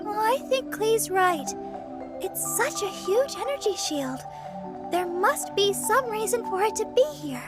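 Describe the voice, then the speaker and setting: A very high, sweet young girl, earnest and curious. She speaks video game dialogue over background music. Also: very animated, measured pace, exaggerated voice. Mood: curious, thoughtful. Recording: music behind the voice.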